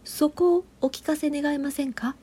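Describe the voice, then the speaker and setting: High-pitched, earnest reporter, played by a woman. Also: fairly even intonation, dark tone, close to an everyday voice. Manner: polite, measured interviewer delivery. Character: polite, earnest female TV reporter interviewing a professor. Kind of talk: comedic audio drama acting.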